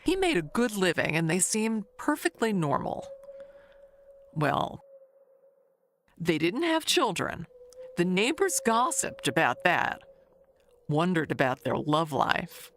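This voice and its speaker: A woman playing a chatty, nosy older neighbor in an audio mystery monologue, gossiping in a confiding, halting way with sly wistfulness. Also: lowish voice, very animated, fairly bright tone, a little hiss or hum, heavily acted. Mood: gossipy.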